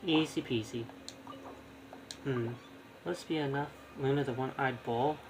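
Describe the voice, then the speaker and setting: A man's voice: mid-range voice, unhurried, noisy background. A man streaming a video game, thinking aloud in casual, rambling live commentary.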